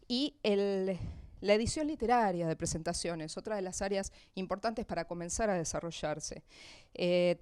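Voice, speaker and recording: A woman with an Argentine Spanish accent giving an informative public presentation of a programme, halting now and then between items. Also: medium pitch, darkish tone, clean tone, dry recording. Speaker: event presenter, press officer of an authors' association. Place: live talk at an association venue.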